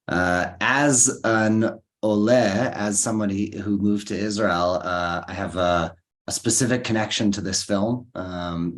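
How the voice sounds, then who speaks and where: Low-voiced American man, halting. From Los Angeles, USA. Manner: earnest, halting with restarts. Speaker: filmmaker guest. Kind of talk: panel interview answer.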